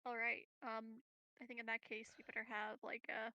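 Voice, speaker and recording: A woman's voice: fairly high pitch, monotone, moderate pace, pushed and bright, no room sound, rough recording. A woman chatting casually as a player in an online tabletop game, tentative and thinking aloud.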